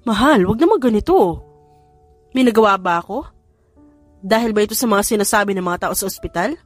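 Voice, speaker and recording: A man's voice: high voice, expressive, fairly quick, no echo, soft background music. A woman voicing a desperate, lovesick young man who pleads with his ex in a storytelling audiobook. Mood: pleading, hurt.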